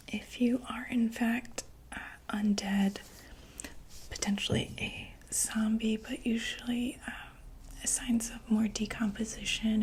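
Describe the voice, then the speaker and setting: A woman whispering softly in a breathy ASMR medical roleplay, gently and tentatively talking through each step as a doctor. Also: fairly high pitch, a little hiss or hum, poor audio. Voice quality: breathy, mostly unvoiced whisper.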